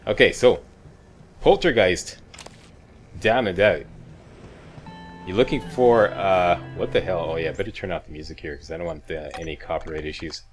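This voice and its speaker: A man's voice: deep voice, normal speed, pauses often, soft background music. A man doing casual, chatty video game commentary, guiding viewers step by step as a walkthrough host.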